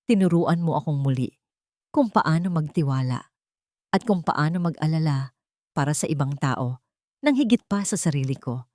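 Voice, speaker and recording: An earnest man in love from a romantic storybook drama, acted out by a woman. The delivery is solemn and heartfelt, with expressive swings in pitch. The sound is close and dry. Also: moderate pace, long pauses, dry recording. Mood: earnest, tender. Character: earnest, tender adult man, a journalist in love.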